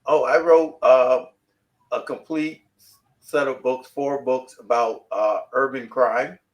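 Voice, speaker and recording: A man's voice: medium pitch, steady tone, slow pace. A man, an author guest rambling through casual stories and repeating himself. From New York City. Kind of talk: casual interview chat.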